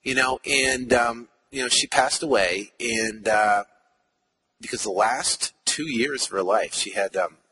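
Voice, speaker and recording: A man's voice: medium pitch, unhurried, sharp tone, slightly rough, rough recording. A man in his forties talking casually as a podcast host from his car, rambling with many fillers and restarts. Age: 40s. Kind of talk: casual monologue.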